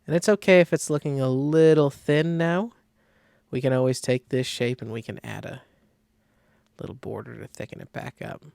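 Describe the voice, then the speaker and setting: A man giving a casual step-by-step software tutorial, thinking aloud informally as he demonstrates. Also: medium pitch, steady tone, normal speed, vocal fry, no room sound. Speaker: design tutorial instructor.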